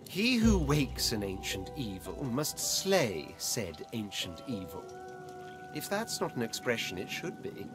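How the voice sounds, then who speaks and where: A man's voice: mid-range voice, sing-song, measured pace. An actor playing a wry male adventurer in a video game, making dry quips. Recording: game audio under music.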